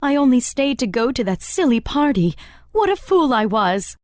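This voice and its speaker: Distraught high-voiced society mother. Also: big pitch swings, moderate pace, darkish tone, dry recording, clean studio sound. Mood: regretful. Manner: distraught, dramatic. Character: beautiful, frivolous, anxious young English mother. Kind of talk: children's storybook acting.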